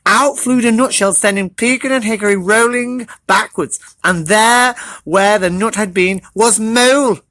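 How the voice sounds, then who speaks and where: A storybook reader narrating for children in an animated style. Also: mid-range voice, a little slow, no echo, studio quality.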